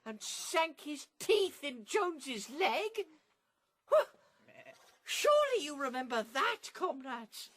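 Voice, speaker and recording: A woman's voice: high-pitched, big pitch swings, takes it slowly, exaggerated voice. A woman playing a glib, scheming propagandist pig in a radio drama, insistent and slyly persuasive.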